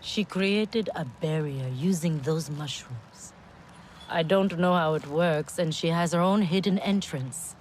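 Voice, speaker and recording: An actress playing a guarded but kind female caretaker who speaks calmly to a stranger. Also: low-pitched, measured pace, a little hiss or hum. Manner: calm, guarded.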